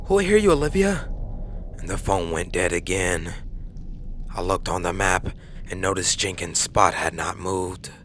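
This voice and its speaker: Low-pitched man narrating horror, forceful tone. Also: level tone, measured pace. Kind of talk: scripted first-person horror narration. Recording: faint background noise.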